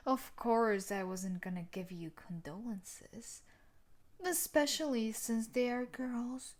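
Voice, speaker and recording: Woman acting a slow, taunting yandere bully girl. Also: a little high, animated. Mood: mocking, cold. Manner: taunting, menacing, deliberate, slipping into breathy whispers. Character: sadistic, possessive young woman student bully, obsessively in love. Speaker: voice actor in audio roleplay. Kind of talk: intimate scripted audio roleplay.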